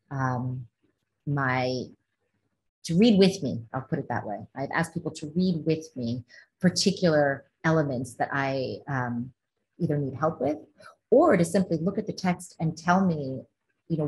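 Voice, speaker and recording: Woman, deep low voice, online panel, reads questions aloud, hesitant restarts, video call. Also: poor audio. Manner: thoughtful, frequent ums and restarts. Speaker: literary translator and panelist. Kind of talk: online panel discussion, reading audience questions. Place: home living room indoors. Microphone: laptop video call.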